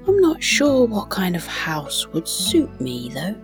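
A woman plays a kindly, bashful wolf from a children's storybook. The wolf speaks softly and politely, taking plenty of time, with quiet music behind. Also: medium pitch, wildly swooping pitch, slow, vocal fry, heavily acted. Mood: wistful, friendly. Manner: soft-spoken, courteous. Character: gentle, shy, polite wolf seeking a home.

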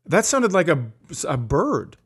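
A man with a high, animated voice banters as a comedy co-host. He talks slowly and casually, sounding incredulous as he jokes. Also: husky. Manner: incredulous, joking.

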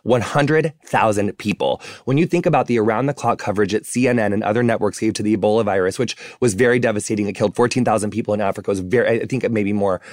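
A man in his thirties hosting a casual political chat, speaking with impassioned emphasis that climbs high when he is outraged. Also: quick pace, hardly any pauses, dry recording, clean studio sound. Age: 30s. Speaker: podcast host.

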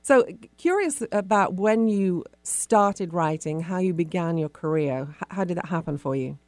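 Female radio interviewer, slightly low voice, conversational, very dry studio sound. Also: lively intonation, moderate pace, darkish tone, no room sound. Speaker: radio talk show host.

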